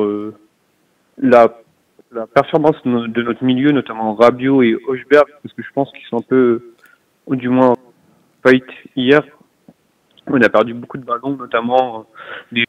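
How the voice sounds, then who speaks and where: A man phoning in to a football show, sharing his view in a halting, hesitant way. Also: medium pitch. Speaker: caller. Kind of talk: casual call-in opinion. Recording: dull, muffled phone line.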